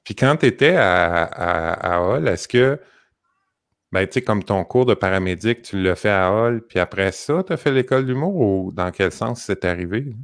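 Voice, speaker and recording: A man's voice: fairly low pitch, animated, moderate pace, smooth, no echo, studio quality. A man with a Québécois French accent hosting a podcast, asking rambling, filler-heavy questions. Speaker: podcast host. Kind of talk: casual podcast interview.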